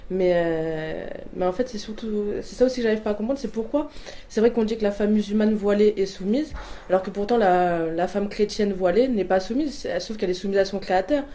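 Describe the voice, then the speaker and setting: Young woman in her 20s telling her story, lots of ums and restarts, soft warm tone. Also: medium pitch, brisk, slight background noise. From Portuguese family background. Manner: hesitant, many fillers and restarts. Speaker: interviewee giving a testimony. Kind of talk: personal testimony, answering questions.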